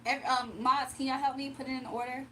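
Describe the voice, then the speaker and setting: A woman's voice: sits high, flat delivery, fairly quick, sharp tone, a little hiss or hum. Chatty woman hosting live card readings. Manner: chatty, reads out viewer comments, laughs often. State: slightly strained, recovering voice. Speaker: livestream card reader. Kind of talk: casual live-stream chat and readings.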